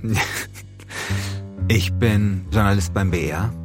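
A man's voice: lowish voice, takes it slowly, music in the background. Casual, jokey man hosting a podcast. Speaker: podcast host and journalist. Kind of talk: casual banter and podcast narration.

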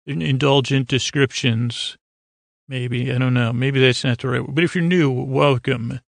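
A man hosting a bedtime podcast in a rambling monologue, stammering and derailing himself, with a gravelly, creaky voice. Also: mid-range voice, steady tone, unhurried, no echo. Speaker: podcast host.

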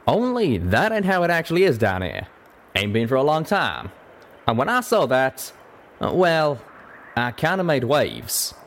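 A man's voice: medium pitch, slight background noise, voice pushed up. A man voicing a young, plain-spoken pegasus cowboy and bashful ex-captain with a folksy Southern or Western American drawl, in an audio story. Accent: American South or West drawl.

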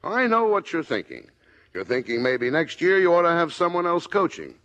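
A man's voice: fairly high pitch, expressive, normal speed, fairly bright tone. An American man acting a harried middle-aged football coach in a 1950s radio comedy, emphatic and prone to stammering. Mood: earnest, sheepish. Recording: dull, band-limited old radio recording.

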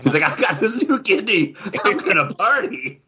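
A man hosting a casual podcast, chatting in an animated, joking way with quick asides. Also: high voice, slightly rough, dull audio. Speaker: podcast host.

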